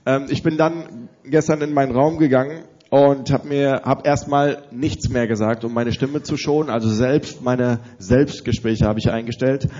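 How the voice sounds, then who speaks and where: A man's voice: mid-range voice, normal speed, highs cut off. Man with a cold, hosting a conference. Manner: energetic, directs the audience with instructions. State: has a cold, slightly strained voice. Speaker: conference host for youth leaders. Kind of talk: addressing a live audience at a conference. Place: large conference hall with audience.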